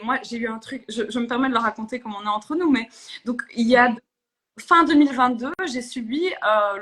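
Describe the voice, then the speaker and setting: This is a young woman, speaking as a guest in a live interview. Her voice sits slightly high. She talks earnestly, with frequent fillers and restarts as she thinks aloud. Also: fairly bright tone. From Belgium. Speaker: politician guest. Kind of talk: live interview on social media.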